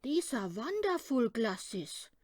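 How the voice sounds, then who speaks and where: A woman's voice: fairly high pitch, sing-song, takes it slowly, darkish tone, no room sound, heavily acted. A woman voicing an eager, wide-eyed little boy in a children's storybook. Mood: wondering. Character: eager little boy enthralled by magic glasses.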